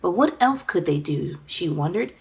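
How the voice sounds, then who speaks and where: A woman narrating a children's story aloud in an expressive, dramatic storytelling manner. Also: fairly low pitch, normal speed, a little hiss or hum. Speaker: children's author reading own book.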